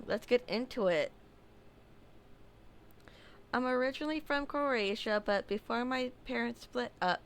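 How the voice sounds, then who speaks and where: Slow, smooth-voiced woman reading a story. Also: medium pitch. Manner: unhurried reading with small slips and asides. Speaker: horror story narrator. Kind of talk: reading a submitted story aloud.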